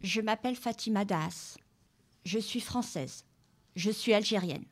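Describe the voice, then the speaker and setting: Woman reader, even and steady, straightforward literary reading. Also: mid-range voice, a little slow. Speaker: reader at a book club radio show. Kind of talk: reading a literary excerpt aloud. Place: public library.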